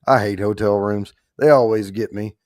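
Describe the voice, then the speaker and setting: A man with a rough, hoarse, worn-out voice, hosting a livestream in rambling, folksy casual chat while trying not to get loud. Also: on the low side, steady tone, normal speed, no room sound. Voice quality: rough, strained voice. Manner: rambling, folksy, holding back volume. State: hoarse, worn out after allergy attack. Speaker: host of a whiskey giveaway livestream.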